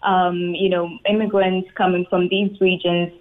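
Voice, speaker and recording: Woman, swahili speaker, measured with fillers, tinny phone line. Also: on the low side, little pitch movement. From Tanzania. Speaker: radio guest, public health worker. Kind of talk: radio interview.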